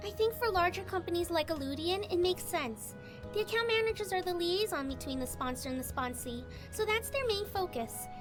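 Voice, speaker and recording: Man doing a falsetto young woman, gentle and long-winded. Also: expressive, a little fast, music in the background. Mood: explaining. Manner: gentle, explanatory.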